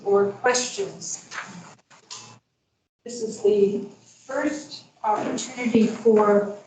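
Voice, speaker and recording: Woman, meeting chair, slow and deliberate, distant mic, very echoey hall. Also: mid-range voice, a little mellow, a little hiss or hum. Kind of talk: formal meeting procedure. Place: school board meeting room.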